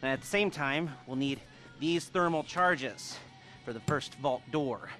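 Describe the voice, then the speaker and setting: An actor playing a smug, sardonic middle-aged heist planner in a video game, talking haltingly with muttered asides and nervous chuckles. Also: male voice, a little high, a bit bright. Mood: pleased, instructive. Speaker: mission briefer. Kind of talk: video game cutscene acting. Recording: music behind the voice.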